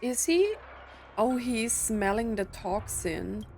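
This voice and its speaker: A woman's voice: a little high, lively intonation, slow, darkish tone, soft background music. Woman playing and reacting to a game. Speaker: gameplay streamer. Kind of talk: casual game reactions and reading text aloud.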